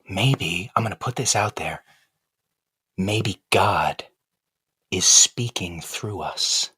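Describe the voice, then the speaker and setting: Husky, brooding ventriloquist dummy. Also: male voice, low voice, slow, sharp tone, heavily acted. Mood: puzzled, pondering. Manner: insistent, pondering, questioning. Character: brooding, philosophical wooden male ventriloquist dummy, indignant underdog. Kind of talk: comic audio-play dialogue.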